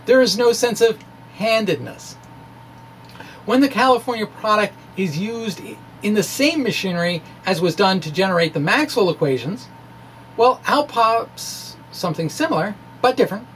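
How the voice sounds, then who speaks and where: Wry, halting man with noise behind. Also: sits high, animated, fairly bright tone. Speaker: amateur physics presenter. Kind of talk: dramatic blog reading with informal asides.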